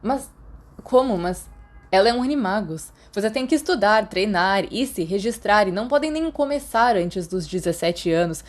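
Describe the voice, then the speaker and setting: A guarded teenage schoolboy read by a woman, wary and blunt in storybook dialogue. He sounds sceptical and anxious, at a middling pitch. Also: expressive, moderate pace, natural voice. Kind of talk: audiobook character dialogue.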